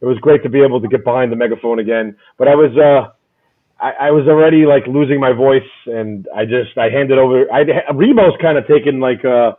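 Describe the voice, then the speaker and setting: A man hosting a casual fan chat, loud and rambling, often repeating his words. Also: medium pitch, a little fast, phone-quality sound. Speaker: podcast host.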